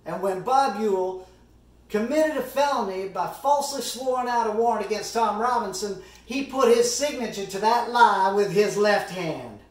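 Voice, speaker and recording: Guy doing a middle-aged lawyer giving a big earnest courtroom speech, pretty high voice for a man, dramatic pauses, far from the mic. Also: close to an everyday voice. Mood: forceful, accusing. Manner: deliberate, dramatic pauses, emphatic oratory. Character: earnest, impassioned male Southern defence lawyer, middle-aged. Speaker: amateur actor performing a monologue. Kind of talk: theatrical courtroom summation monologue. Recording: roomy echo, distant microphone.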